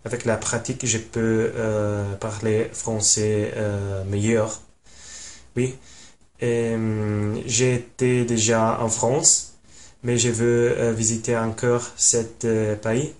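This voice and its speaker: Halting young Italian man, slow and flat. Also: on the low side. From Italy. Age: 20s. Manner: halting, many pauses and fillers. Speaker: language learner. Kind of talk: casual self-introduction. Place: small room at home.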